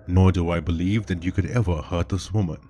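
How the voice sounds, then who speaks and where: A composed male vampire cop from a spoken story, talking low and steady with quiet authority, as a man puts him on. His voice stays dark and unhurried. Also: a little hiss or hum, natural voice. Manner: calm and firm. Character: calm, steady male vampire police officer.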